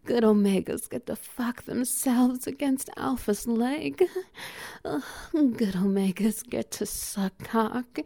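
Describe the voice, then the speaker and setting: A woman with a low, gravelly edge and a mellow, warm tone, talking slowly in a teasing, commanding manner. She often sinks into a soft whisper and lets out breathy little chuckles, close and dry on the mic. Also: no echo. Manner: breathy little laughs between lines. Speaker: erotic audio roleplay performer. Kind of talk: intimate audio roleplay.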